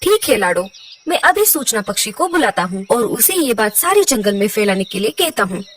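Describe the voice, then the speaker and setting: A woman's voice: mid-range voice, very animated, fairly quick, a bit bright, recorded from a distance, a little hiss or hum. A woman voicing a kindly, trusting bird king with a regal manner in a cartoon story. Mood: attentive. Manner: regal, attentive.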